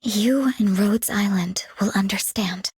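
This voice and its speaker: An actress voicing a soft, compassionate, idealistic woman in a game, with a breathy, husky voice. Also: medium pitch, unhurried, slight background noise, lightly acted. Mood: tender, hopeful. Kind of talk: scripted game character voice line.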